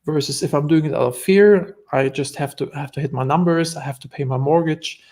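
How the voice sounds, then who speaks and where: A man's voice: on the high side, fairly quick, a little mellow. A man with a German accent, a guest entrepreneur telling stories in an earnest, reflective way during a casual interview.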